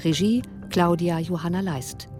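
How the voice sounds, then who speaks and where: Lower-voiced woman announcer over music. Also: a little slow. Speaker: radio drama announcer. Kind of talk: scripted credits reading.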